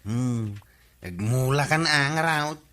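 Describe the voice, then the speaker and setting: A man's voice: mid-range voice, slow pace, pushed and bright. A man with an Ilocano Filipino accent playing a nosy, blunt, disapproving villager in a radio comedy drama. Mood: needling.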